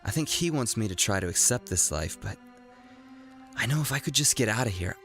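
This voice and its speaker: Awkward, very deep-voiced young dreamer. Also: male voice, lively intonation, a little fast, fairly bright tone, soft background music. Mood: wistful. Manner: hesitant, awkward, earnest. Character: restless young male blacksmith's apprentice, awkward dreamer. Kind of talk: naturalistic animated series acting.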